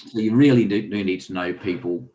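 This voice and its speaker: A man's voice: fairly low pitch, brisk, dark tone, poor audio. A man with an Australian accent, a podcast guest telling long rambling fishing stories with frequent ums. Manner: rambling anecdotal, many ums. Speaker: guest angler and author. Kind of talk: casual storytelling interview.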